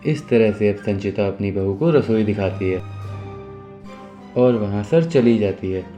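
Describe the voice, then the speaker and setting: Mellow, low-pitched male storyteller. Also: animated, a little slow, muffled recording. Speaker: narrator. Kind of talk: scripted storytelling narration. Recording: music behind the voice.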